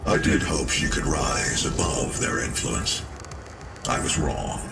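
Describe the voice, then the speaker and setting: An actor playing a grave, measured director of a sci-fi research facility in a video game, with a gravelly voice. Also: male voice, rumbling low, recorded from a distance, loud music behind the voice, full character voice. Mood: regretful. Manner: measured, solemn. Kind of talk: video game cutscene acting.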